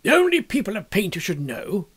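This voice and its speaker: A man's voice: sits high, wildly swooping pitch, fairly quick, a bit bright, breathy edge, heavily acted. A man voicing a blustering, exuberant, opinionated male painter in a storybook reading, with an emphatic, declamatory manner.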